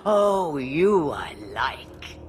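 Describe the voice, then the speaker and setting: A woman playing an ancient, sly witch in a fantasy game, with a low voice that turns breathy, speaking in a teasing, theatrical drawl. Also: full character voice. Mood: musing, intrigued. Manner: theatrical, drawn-out, teasing, sardonic. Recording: game dialogue under clear music.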